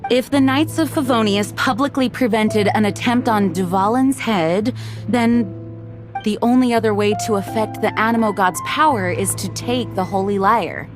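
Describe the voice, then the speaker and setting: A woman's voice: on the high side, moderate pace, over music, close to an everyday voice. A woman playing a composed, dutiful knight commander in an anime-style video game, formal and earnest.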